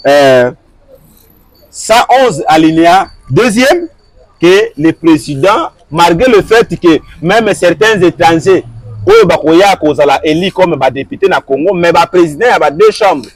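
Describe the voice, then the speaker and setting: A man's voice: fairly high pitch, lively intonation, unhurried, dry recording. A man answering an interviewer, arguing his point with repeated rhetorical questions and switching between French and Lingala. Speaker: guest.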